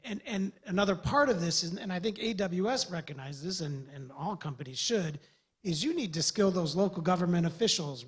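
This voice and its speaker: A man's voice: high-pitched, slightly rough. A man from the USA, a government development official, giving earnest, loosely structured remarks on a panel. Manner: earnest, rambling with restarts.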